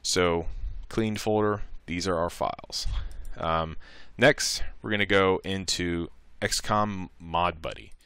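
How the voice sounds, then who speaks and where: A man presenting a casual software tutorial, talking informally through each step and thinking aloud. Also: low-pitched, slow, hardly any pauses, clean tone, dry recording.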